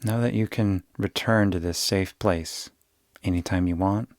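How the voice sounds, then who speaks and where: A man guiding a yoga nidra meditation in a soothing, unhurried way, giving gentle instructions with long pauses. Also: deep voice, fairly even intonation, no room sound, clean studio sound. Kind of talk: guided meditation.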